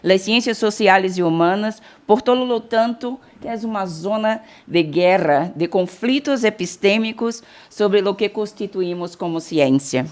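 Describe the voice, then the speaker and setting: A woman's voice: mid-range voice, a little slow, hardly any pauses. Woman, Bahian Brazilian accent, keynote lecture, Portuguese-Spanish mix, mid-range voice. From Salvador, Bahia, Brazil. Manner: mixes Portuguese and Spanish, frequent restarts.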